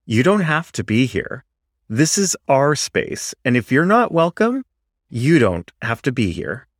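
A man's voice: mid-range voice, big pitch swings, measured pace, no room sound, clean studio sound, voice pushed up. A man voicing an argumentative, self-righteous male student in a story, emphatic and dismissive. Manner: emphatic, griping, insistent.

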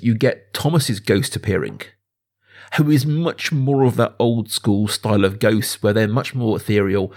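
Smooth-voiced man in his thirties, enthusiastic. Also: mid-range voice. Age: 30s. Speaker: film podcast host. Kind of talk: casual film discussion.

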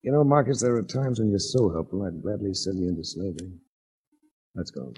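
An actor playing a suave, wry American private investigator in a 1940s radio detective drama, dryly wisecracking and narrating. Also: male voice, low-pitched, a little fast, noisy background, natural voice. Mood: bantering. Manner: dry, wisecracking. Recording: muffled 1949 radio recording.

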